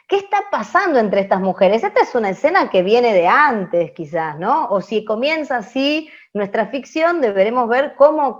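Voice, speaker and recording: A high-voiced Argentine woman explains like a teacher, searching for words and checking in with her listeners. The recording sounds slightly dull and muffled. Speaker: radio drama teacher. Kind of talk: explanatory online class, spoken off the cuff.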